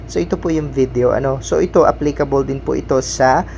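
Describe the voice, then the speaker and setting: A man's voice: medium pitch, brisk, a little mellow. A man hosting an informal step-by-step app tutorial, speaking politely and instructively. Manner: polite, instructional, repeats verbal tags. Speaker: tutorial host. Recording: clearly noisy background hum.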